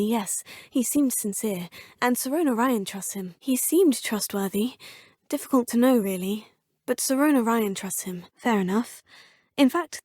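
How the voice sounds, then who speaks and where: An actress gives a young student witch a polite, composed voice, formal and earnest. The tone sits fairly high with a soft breathy edge. It is recorded close and dry, like a game studio booth. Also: no echo, lightly acted. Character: polite, determined young female wizarding student. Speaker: player character voice. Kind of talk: video game dialogue lines.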